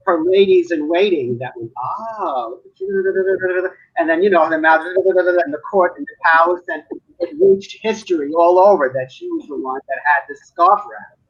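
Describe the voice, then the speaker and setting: A man's voice: high-pitched, animated, normal speed, breathy edge, highs cut off. A man from Manhattan, an artist chatting casually on a live video call and telling stories with frequent laughs. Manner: chatty storyteller, laughs often. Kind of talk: casual live-stream chat.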